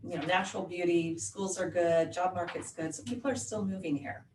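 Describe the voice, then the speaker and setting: A woman with a lowish voice explains things in a friendly, easygoing way as an interview guest. She is an escrow officer from Oregon. She sits away from the mic in an echoey room with faint background noise. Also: fairly even intonation, a little fast. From Oregon, USA. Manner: friendly, explanatory, laughs easily. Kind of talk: casual interview answers. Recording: roomy sound, mic at a distance, faint noise.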